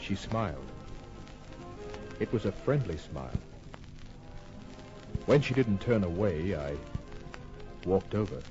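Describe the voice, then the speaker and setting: A man's voice: sits low, expressive, slow, long pauses. An actor playing a wry, hard-boiled private detective who narrates his case in a laconic, cool way in an old-time radio crime drama. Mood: smooth, flirtatious. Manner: laconic, cool, clipped storytelling. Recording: muffled old radio recording with music.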